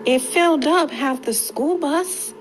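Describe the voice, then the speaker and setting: A woman reading a picture book aloud to young children in an expressive, deliberate way with dramatic pauses. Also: sits high. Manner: slow, deliberate, animated storytelling with dramatic pauses. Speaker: storytime reader for young children. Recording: clearly audible background noise.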